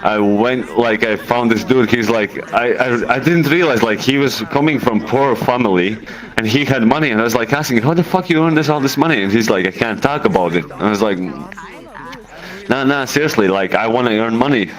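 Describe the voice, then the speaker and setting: A young man with a Latvian accent tells a personal story in casual chat, halting as he searches for words and swearing freely. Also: mid-range voice, poor audio.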